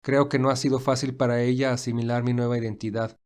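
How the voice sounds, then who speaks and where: Devoted young gentleman for audio drama, medium register, earnest, pensive, quietly resolute male performer. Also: mid-range voice, little pitch movement, quick pace, natural voice. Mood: pensive, determined. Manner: earnest, reflective. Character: thoughtful, devoted wealthy young man, gently determined.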